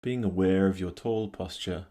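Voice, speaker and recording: A man leading a guided meditation, speaking calmly and gently in unhurried phrases with long pauses. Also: deep voice. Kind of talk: guided meditation, scripted narration.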